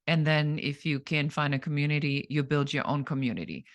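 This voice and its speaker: A woman presenting and interviewing as a podcast host, phrasing things deliberately and addressing people directly. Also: sits low, fairly even intonation.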